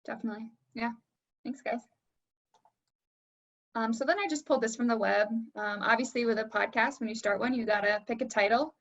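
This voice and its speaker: A woman with a fairly high voice moderates and presents informally. Her delivery is conversational and casual. The recording is slightly muffled and lo-fi. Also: fairly quick, long pauses, a bit bright.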